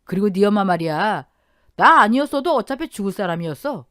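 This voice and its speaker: A woman's voice: medium pitch, expressive, fairly quick, fairly bright tone, dry recording, clean studio sound, lightly acted. A woman voicing a cruel older stepfather who mocks and taunts in a radio drama. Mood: cold sneering. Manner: mocking, taunting.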